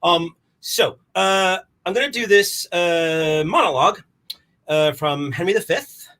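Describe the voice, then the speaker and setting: A man's voice: high-pitched, a little slow, bright, forceful tone. A man performing casual rambling comedy in a scatterbrained, theatrical manner. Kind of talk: rambling casual comic livestream.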